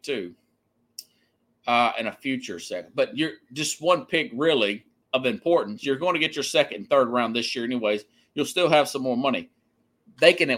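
A man's voice: mid-range voice, fairly bright tone. A man hosting a sports podcast, giving an opinionated, conversational monologue and repeating phrases for emphasis.

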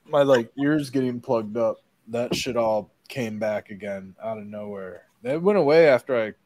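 A man's voice: medium pitch, normal speed, darkish tone. Nasal Midwestern man, congested and rambling. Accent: Midwestern American. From American Midwest. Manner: casual, rambling, swears often, clears throat. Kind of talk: casual chat.

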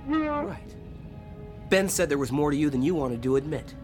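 An actor in a radio drama playing an idealistic, eager young pilot recruit with an earnest, boyish manner. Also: male voice, on the high side, wildly swooping pitch, normal speed, soft background music. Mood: pleading.

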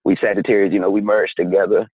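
A man from Dallas, a musician guest, talks in a laid-back, easygoing way. His low voice is husky, and it comes through a tinny, dry phone line. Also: level tone, fast talker, no echo. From Dallas, Texas. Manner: laid-back, easygoing, lots of "you know". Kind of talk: casual interview talk.

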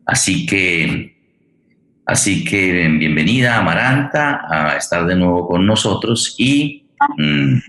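Very deep-voiced man hosting a forum. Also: fairly bright tone, poor audio. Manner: halting, deliberate, searching for words. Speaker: forum host and theatre director. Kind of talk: introducing a guest at a public forum.

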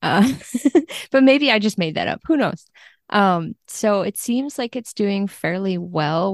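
Female interview guest, lowish voice with vocal fry, conversational, closely recorded and dry. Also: no echo. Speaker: literary translator, podcast guest.

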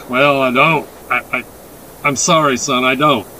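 A man voicing a weary, gruff father in an audiobook, anxious and exasperated, speaking haltingly. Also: mid-range voice, fairly even intonation, sharp tone, a little hiss or hum. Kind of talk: dramatic audiobook character reading.

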